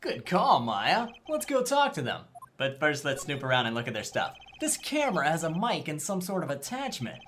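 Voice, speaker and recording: A woman's voice: deep voice, wildly swooping pitch, normal speed, few pauses, a little hiss or hum. A woman playing a sardonic young male lawyer, an easily exasperated straight man in a game dub. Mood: wry, teasing. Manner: sardonic, exasperated, dry asides. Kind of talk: dubbed visual novel game dialogue. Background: background music.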